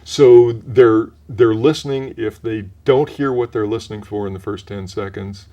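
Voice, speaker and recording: A mellow-voiced older man with a somewhat low pitch talks through his work in a community TV interview. He speaks in a measured, explanatory way and often restarts his sentences. He sounds relaxed and informative. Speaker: voice actor guest.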